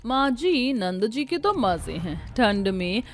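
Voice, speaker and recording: A woman puts on an envious, idle sister-in-law in a cartoon. She sounds like a schemer, her voice somewhat high over faint music. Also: sing-song, slow pace. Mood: envious, scheming. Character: lazy, envious sister-in-law coveting free snacks. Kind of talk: Hindi moral-story cartoon dialogue.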